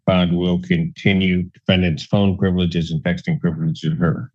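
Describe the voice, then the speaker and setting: A man's voice: deep voice, little pitch movement, normal speed, darkish tone, slightly rough. A man speaking as a judge, giving curt, matter-of-fact rulings from the bench. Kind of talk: spontaneous courtroom rulings. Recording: dull courtroom audio feed.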